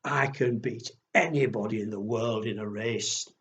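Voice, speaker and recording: A man's voice: on the low side, normal speed, slight background noise, close to an everyday voice. A man voicing a boastful, mocking male hare in a children's fable, with a teasing manner.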